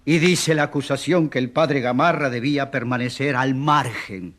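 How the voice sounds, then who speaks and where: An actor playing a stubborn man from the provinces who pleads for an accused priest in a radio drama, halting and insistent. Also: mid-range voice, a bit bright, natural voice. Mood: fervent.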